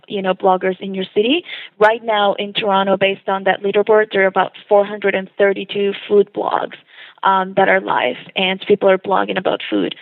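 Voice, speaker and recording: A woman from Colombia chats eagerly about her passion as a phone-in guest. Her voice comes over a thin, narrow phone line with no room sound at all. She laughs easily and talks in long, lively runs. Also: medium pitch, dry recording. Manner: enthusiastic, chatty, laughs often. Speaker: food blogger guest. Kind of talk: phone interview. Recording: tinny dry phone line.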